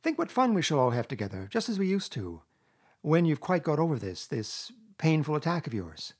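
Man voicing a blunt, sceptical, high-pitched rat. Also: animated, fast talker. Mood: kindly. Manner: dubious, blunt. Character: sceptical, teasing male rat, a plain-spoken friend. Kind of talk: storybook character reading.

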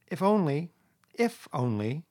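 A man acts out a plain-spoken rat from a storybook. He is sceptical and teasing, with a blunt delivery. The pitch is lifted and the tone has a husky grain. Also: lively intonation, fast. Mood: dubious. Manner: dubious, blunt. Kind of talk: storybook character reading.

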